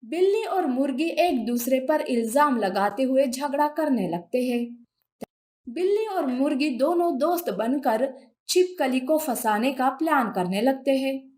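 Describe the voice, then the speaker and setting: Slow, high-voiced woman storyteller. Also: lively intonation, a little mellow. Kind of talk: scripted narration of children's animal fables.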